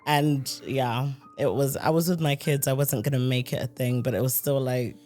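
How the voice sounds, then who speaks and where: A woman's voice: very deep voice, normal speed, darkish tone, faint music behind. Frank British woman in a podcast chat. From United Kingdom. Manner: frank and conversational, many fillers like "like". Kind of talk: casual podcast discussion.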